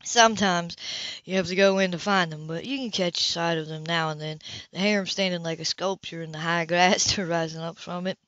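A woman's voice: on the low side, steady tone, a little fast, fairly bright tone, no echo, lightly acted. An easygoing sailor in a novel read by a woman, teasing and at ease as he explains things. The voice sits close and dry, with slightly dull sound. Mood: calm, explaining. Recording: dull, lo-fi audio.

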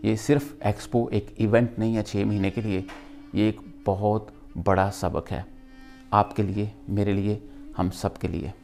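Low-voiced Pakistani man, earnest motivational delivery. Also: steady tone, measured pace, creaky, darkish tone, faint music behind. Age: middle-aged. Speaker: vlogger, motivational speaker. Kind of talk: motivational talk to camera.

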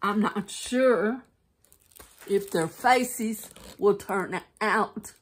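A woman's voice: mid-range voice, husky. A middle-aged woman chatting casually at home while showing a craft kit, rambling and correcting herself as she goes. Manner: slow, rambling, self-correcting. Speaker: crafting vlogger. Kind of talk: casual show-and-tell chat. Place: home, seated indoors. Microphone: phone camera pointed down at her lap.

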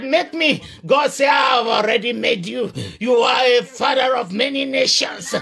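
A male preacher leading fervent prayer, shouting declarations and breaking into chanted tongues. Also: extremely high pitch, gravelly.

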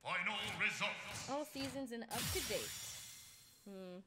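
A woman's voice: mid-range voice, expressive, unhurried, heavy background noise. A young woman whose first language is Spanish, chatting casually and giggling while streaming a game, swearing now and then. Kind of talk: casual live-stream chat while gaming. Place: gaming room at home, indoors. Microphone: headset. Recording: game music loud under voice. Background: loud game music.

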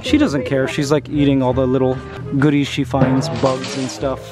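A man in his thirties vlogging on a farm, excitable and chatty, cooing at a chicken in baby talk from a handheld camera. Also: medium pitch, moderate pace, over music. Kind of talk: casual vlog. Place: outdoors on a farm.

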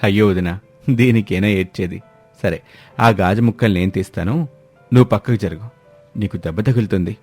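A man's voice: on the low side, fairly quick, pauses often, a little mellow, husky, faint music behind. Man playing an earnest, questioning young brother-in-law. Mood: concerned.

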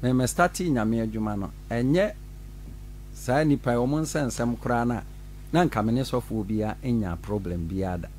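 A middle-aged Ghanaian pastor speaks into a studio boom mic in a small radio studio, talking with lively gestures and frequent laughter. His pitch sits mid-range for a man. A faint hum runs underneath. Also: normal speed. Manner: animated, gestures while talking, laughs often. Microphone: studio mic on boom arm.

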